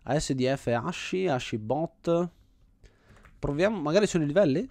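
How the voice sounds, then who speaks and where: A man's voice: a little high, expressive, moderate pace, vocal fry, a little mellow, no echo. A man hosting a gaming channel, giving casual let's play commentary, thinking aloud with enthusiasm.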